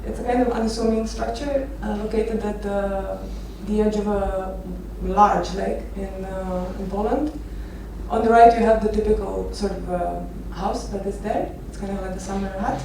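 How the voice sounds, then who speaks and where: Female presenter from Romania, 30s, relaxed storytelling with hesitant phrasing; captured distantly in a reverberant venue with clear background noise. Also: mid-range voice, normal speed. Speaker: architecture photographer giving a conference talk. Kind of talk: informal presentation with personal stories. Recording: echoey noisy venue, distant microphone.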